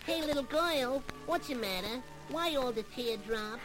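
A wisecracking male cartoon rabbit, brash and playful. The voice sits high and swoops around all the time. It is an old children's story record with music running underneath. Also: lively intonation, measured pace. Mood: comforting.

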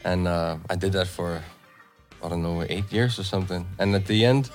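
A man in his thirties, raised in Denmark in a Filipino family, talking casually about music on a radio show, relaxed and laughing often. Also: sits low, normal speed. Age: 30s. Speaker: DJ and event promoter.

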